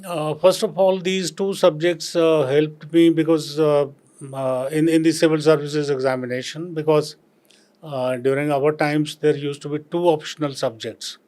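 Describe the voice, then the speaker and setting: An older man from Punjab with an Indian accent, a retired civil servant answering interview questions slowly and reflectively, with many pauses and fillers. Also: a little high, fairly even intonation, a little mellow.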